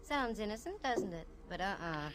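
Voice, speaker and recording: An actress playing a wisecracking young woman private detective, narrating an old radio drama in a flat, read-off-the-page delivery. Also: mid-range voice, moderate pace, noisy background, lightly acted. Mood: wry.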